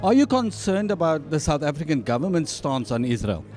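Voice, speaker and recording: A man in his forties hosting a show and interviewing a guest at a dinner event, measured and formal. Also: on the high side, sing-song, a little mellow. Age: 40s. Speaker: host. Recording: music playing behind.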